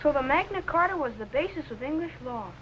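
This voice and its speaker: A man's voice: very high-pitched, expressive, fast, a bit bright. A young male actor playing an eager, respectful teenage assistant in 1950s television science fiction. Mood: earnest. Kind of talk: 1950s television sci-fi acting. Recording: slightly noisy old TV soundtrack.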